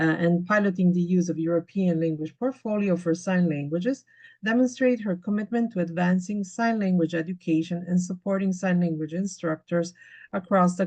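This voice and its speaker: Lower-pitched woman moderating a webinar. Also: darkish tone, poor audio. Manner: polite, halting with many fillers. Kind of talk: formal moderation on a video call. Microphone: video call.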